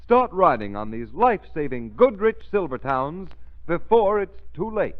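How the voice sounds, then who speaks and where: Animated, high-pitched American radio announcer. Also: male voice. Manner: emphatic, dramatic, deliberate phrasing. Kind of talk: 1930s radio announcing, scripted sales pitch and narration. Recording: tinny, narrow-band 1930s radio recording.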